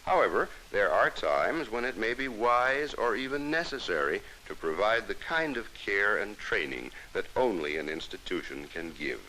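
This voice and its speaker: A middle-aged American man narrating a scripted 1960s educational film in a measured, formal, instructive manner, on an old film soundtrack. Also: lowish voice, sing-song, pushed and bright, a little hiss or hum, poor audio.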